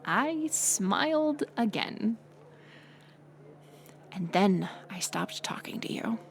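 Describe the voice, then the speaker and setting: A woman playing a bitter, hurt college-age former friend turned bully, confessing in a halting, intimate audio drama monologue. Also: medium pitch, lively intonation, a little hiss or hum. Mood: guilty, bitter confession. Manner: halting confessional delivery, drifting into breathy near-whispers.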